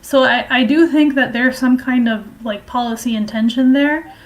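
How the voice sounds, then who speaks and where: A woman giving informal political and historical commentary in a halting, hesitant way, explaining at length. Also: high voice, fairly even intonation.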